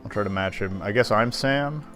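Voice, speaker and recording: Low voiced guy doing a playthrough, reads text out loud, casual, faint music behind. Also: moderate pace. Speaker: game streamer. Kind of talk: casual playthrough commentary, reading game text aloud. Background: faint game music.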